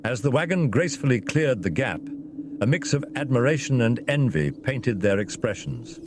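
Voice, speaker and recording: A man's voice: medium pitch, lively intonation, moderate pace, noisy background. A man narrating a scripted fantasy story in a dramatic storytelling style.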